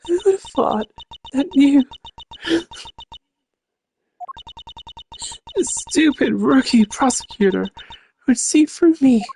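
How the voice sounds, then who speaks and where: A woman voicing a gloating female thief and murderer in a video game, with a mocking, theatrical, drawn-out delivery. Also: high voice, darkish tone, a little hiss or hum, pitched above the performer's own voice. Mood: thrilled, taunting. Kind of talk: game character voice acting.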